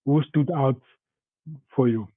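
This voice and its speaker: A man with a slightly rough voice, leading a training session informally, haltingly repeating words and putting questions to participants. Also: mid-range voice, no echo. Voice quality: slightly rough voice from recent flu. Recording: thin phone-like call audio.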